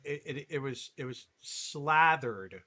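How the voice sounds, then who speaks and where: Bright-voiced, animated male webinar host. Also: medium pitch, takes it slowly. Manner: animated and emphatic, laughing often. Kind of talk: live webinar interview.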